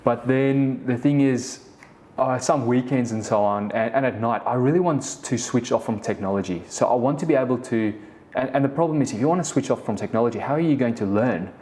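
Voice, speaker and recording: A man's voice: mid-range voice, a little fast, darkish tone. A man in his thirties with a South African accent, Afrikaans his first language, hosting a casual solo podcast and telling a story with many restarts. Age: 30s. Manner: rambling, frequent restarts and "the thing is". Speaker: podcast host, business co-founder.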